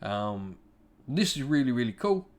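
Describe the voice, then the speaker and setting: A man's voice: medium pitch, very animated, unhurried. A man hosting a gaming channel, talking informally and conversationally as he shares opinions and addresses his viewers directly. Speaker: YouTube gaming channel host. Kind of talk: casual opinion commentary to viewers.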